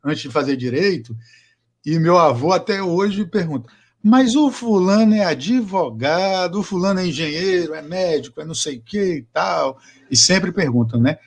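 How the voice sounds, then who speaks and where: A male podcast host with a fairly high-pitched voice. He chats casually and asks long, winding questions, often restarting his sentences. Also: animated, normal speed. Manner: chatty, rambling questions with restarts.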